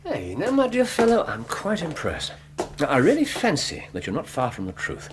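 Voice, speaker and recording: An actor playing a brisk, keen-minded English gentleman detective in a radio drama, speaking precisely with lively bursts. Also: fairly high pitch. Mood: animated, pleased. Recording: noisy radio drama with ambient sound effects.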